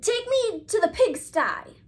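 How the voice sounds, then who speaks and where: A woman's voice: extremely high pitch, sing-song, slow, voice pushed up, full character voice. Woman as a dramatic, lazy young owl. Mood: mock panic. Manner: dramatic, exaggerated exclamations. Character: lazy, scheming young male owl in a storybook.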